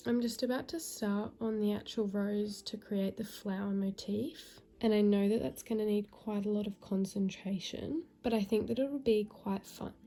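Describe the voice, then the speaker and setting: A young woman vlogging casually about her crochet at home, her voice croaky and a bit nasal from a cold. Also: mid-range voice, normal speed, hardly any pauses. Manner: soft, chatty, relaxed, sometimes whispering. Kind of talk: casual vlog narration.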